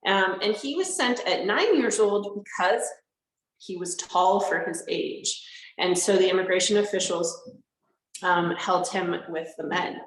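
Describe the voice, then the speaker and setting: A woman's voice: mid-range voice, measured pace, echoey room, rough recording. A woman park interpreter giving a live educational talk from inside a historic barracks, explaining simply and answering viewers' questions. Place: indoors in a large historic barracks building.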